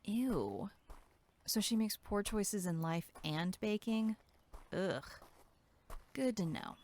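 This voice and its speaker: A woman's voice: medium pitch, very animated, takes it slowly, a little mellow. A woman playing a brash, sarcastic young camp counselor in a horror audio drama, snarky and blunt. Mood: sarcastic, disgusted. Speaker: voice actor.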